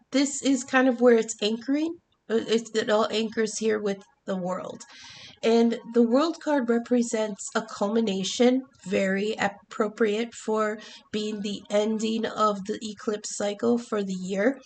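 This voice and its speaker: Stuffy-nosed lady doing a tarot reading, lots of ums, swears a bit, light background noise. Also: on the high side. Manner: chatty with many ums and restarts; swears casually. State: congested from the flu. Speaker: tarot reader. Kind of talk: casual astrology and tarot reading.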